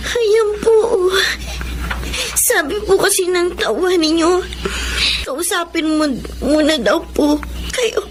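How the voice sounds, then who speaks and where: A woman's voice: very high-pitched, slow pace, pauses often. A woman with a Filipino accent voicing a sweet, polite young daughter in a radio drama, childlike and respectful, on a noisy recording. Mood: polite, subdued.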